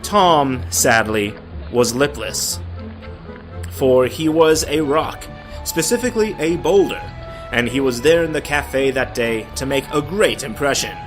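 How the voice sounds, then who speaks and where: A man's voice: medium pitch, animated, a little slow, music in the background, poor audio. A man giving a dramatic, comedic story reading in a theatrical, sardonic manner with exasperated asides. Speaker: narrator of a story.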